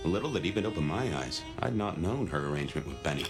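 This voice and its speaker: Over prominent music comes a low-pitched noir gumshoe. He talks in a wry, folksy drawl, world-weary but smooth, as in a detective game. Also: very animated, fast talker. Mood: musing. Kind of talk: noir video game voice acting.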